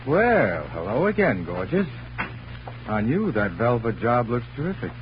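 A man's voice: mid-range voice, big pitch swings, unhurried. An actor playing a cocky, unflappable tough guy in a 1940s hardboiled radio drama, slangy and sardonic, teasing with endearments. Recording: muffled old radio recording, faint hiss.

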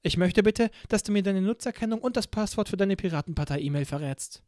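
Guy voicing a stern icy lady interrogator for an audiobook, pitched up, polite but cold. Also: animated, brisk, darkish tone, no echo, clean studio sound. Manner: clipped, coolly polite. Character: cold, stern female interrogator, middle-aged. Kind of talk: audiobook character voice.

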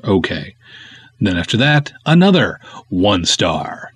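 A man's voice: low voice, very animated, takes it slowly, fairly bright tone, husky, no echo. A man hosting a podcast in a casual, conversational style, talking and reading aloud with wry, snarky sarcasm. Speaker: podcast host and filmmaker.

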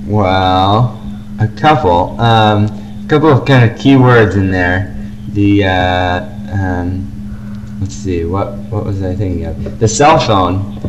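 Low-voiced young man, noisy recording. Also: slow pace. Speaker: podcast co-host. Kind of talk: casual fan podcast chat. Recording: noisy amateur home podcast recording.

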